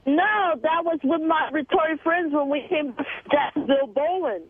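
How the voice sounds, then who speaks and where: A woman's voice: high-pitched, moderate pace, dry recording. A woman calling in by telephone, talking plainly and insistently in short answers over a dull line. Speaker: phone-in caller. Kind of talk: casual phone conversation.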